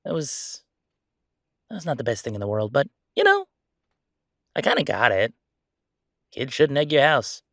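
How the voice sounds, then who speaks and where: A man playing a friendly, mischievous ghost roommate in a casual roleplay audio drama, talking in an excitable, joking, conversational way. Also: mid-range voice, normal speed, long pauses, dry recording, clean studio sound. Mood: chatty, relaxed. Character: friendly male ghost roommate, playful, mischievous, chatty jokester.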